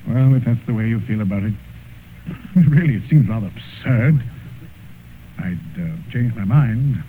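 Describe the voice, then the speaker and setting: A man's voice: mid-range voice, lively intonation, measured pace, lightly acted. An actor playing an earnest, moralizing male painter and wounded friend, emotional and pleading, in an old radio drama. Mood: hurt, conciliatory. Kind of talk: 1940s-50s radio drama acting. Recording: muffled vintage radio recording, faint hiss.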